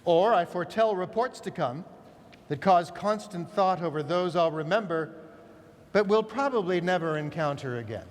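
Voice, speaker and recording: A man giving a solemn, measured, declamatory recitation of a literary verse play. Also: high-pitched, expressive, slight background noise. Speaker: reader. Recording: long hall reverb, echoey large space.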